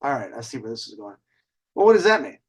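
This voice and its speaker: A man's voice: medium pitch, very animated, fast, breathy edge. A man hosting a show with a casual storytelling monologue, delivered in a swaggering, showman-like way. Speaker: host.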